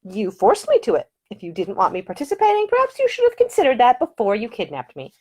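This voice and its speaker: A woman voicing a prim, sharp-tongued young lady in an audiobook. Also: fairly high pitch, wildly swooping pitch, fast, voice pushed up. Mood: tart.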